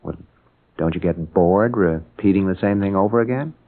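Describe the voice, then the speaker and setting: A man's voice: deep voice, normal speed, lightly acted. An actor playing a mild, earnest middle-aged father with an American accent in an old-time radio drama. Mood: gentle, thoughtful. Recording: tinny, band-limited old radio broadcast.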